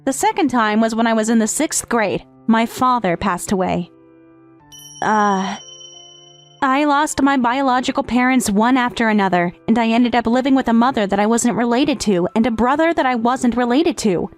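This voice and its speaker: A high, youthful voice for a grieving manga step-sister, acted by a woman. She confesses earnestly and hesitantly, with guilt and tenderness. Soft background music runs beneath the dramatic narration. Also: normal speed, lightly acted. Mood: sorrowful, resentful. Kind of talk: dramatic first-person narration in manga dub.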